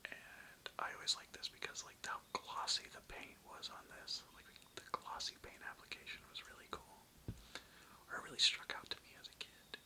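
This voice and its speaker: A man whispering a casual, rambling, slangy ASMR monologue, close to the microphone. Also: normal speed. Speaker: ASMR video creator. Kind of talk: whispered ASMR ramble about old toys.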